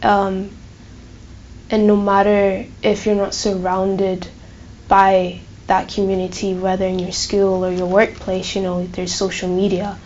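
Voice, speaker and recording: A woman's voice: mid-range voice, completely flat delivery, unhurried, a little mellow, highs cut off, a little hiss or hum. A woman in her twenties with a light Glaswegian Scottish accent, answering interview questions casually with many ums and likes. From Burundi. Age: 20s. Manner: chatty, many fillers like um and like. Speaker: student interviewee.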